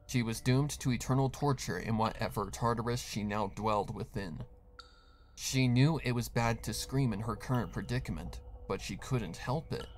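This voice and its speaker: A man narrating a scripted horror story in a dramatic reading style. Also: mid-range voice.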